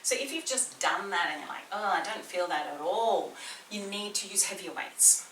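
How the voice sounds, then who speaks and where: A woman's voice: medium pitch, moderate pace, a bit bright, slight background noise. A woman personal trainer with a slight New Zealand accent, chattily coaching a beginner workout in a small indoor room. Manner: chatty, encouraging, talks a lot. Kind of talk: guided workout instruction. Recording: roomy echo, distant mic, faint ambience.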